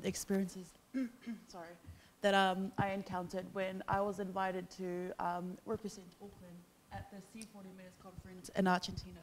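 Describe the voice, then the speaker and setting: A woman's voice: medium pitch, slight background noise, poor audio. Young woman, from Samoa, panel guest, casual storytelling, many fillers, handheld mic, event stage. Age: young adult. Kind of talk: panel discussion.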